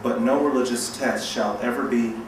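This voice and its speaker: A man's voice: medium pitch, steady tone, moderate pace. A man giving a formal public reading of a legal document. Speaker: bar association officer reading aloud. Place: large reverberant room at a public event. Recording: very echoey hall, distant mic, clear noise.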